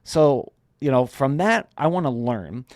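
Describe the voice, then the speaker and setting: A man hosting a podcast interview, greeting and questioning his guest in an energetic, playful way. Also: medium pitch, unhurried, no echo, studio quality. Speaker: podcast host.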